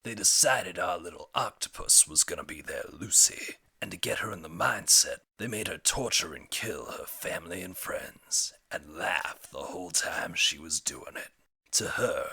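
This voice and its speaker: A man's voice: low voice, a little slow, creaky, sharp tone, slightly rough, heavily acted. A man voicing a slick, talkative arms dealer who spins stories with casual amusement in a parody game dub. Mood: storytelling.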